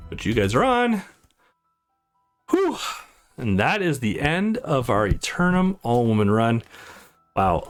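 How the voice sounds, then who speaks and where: A man hosting a let's play, reading a visual novel aloud expressively and adding casual commentary. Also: medium pitch.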